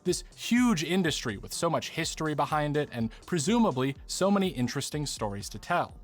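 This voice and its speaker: A man's voice: fairly high pitch, sing-song, fairly quick. A man delivering scripted video essay narration, speaking directly to viewers.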